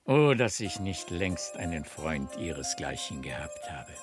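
An actor playing an effusive, sentimental baron of fifty in a radio comedy, formal and admiring. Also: male voice, very deep voice, sing-song, unhurried, faint music behind, heavily acted. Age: 50s. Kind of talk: German radio play of a classic comedy.

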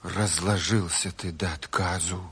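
A man's voice: low-pitched, fairly even intonation, a little slow, fairly bright tone, husky, in a large hall, full character voice. An actor playing a stern, bitter revolutionary chairman in a Soviet radio drama, severe and reproachful.

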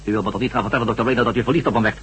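An actor playing a menacing, condescending interrogator in a Dutch radio drama, speaking with sardonic deliberation. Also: male voice, mid-range voice, steady tone, very fast, fairly bright tone, natural voice. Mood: cool, sardonic. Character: menacing, condescending male interrogator, secret-project villain. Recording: noisy radio recording with hum.

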